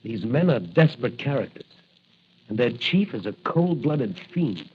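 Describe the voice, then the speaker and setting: An actor playing an earnest, resolute young cop who fights crime in a mask, in deliberate, declamatory old radio style. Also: male voice, medium pitch, big pitch swings, slight background noise, lightly acted. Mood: grave, resolute. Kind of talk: 1940s radio adventure acting. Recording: muffled vintage radio recording.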